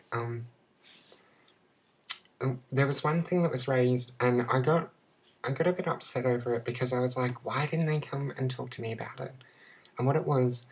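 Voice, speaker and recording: A young Australian woman talking to her camera in a casual personal vlog, halting and searching for words with many restarts. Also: rumbling low, poor audio. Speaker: vlogger.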